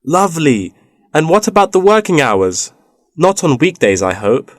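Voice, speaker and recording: A man's voice: fairly high pitch, sing-song, normal speed, no room sound, clean studio sound. A man playing an agreeable, hopeful job seeker in a scripted, conversational listening-exercise dialogue.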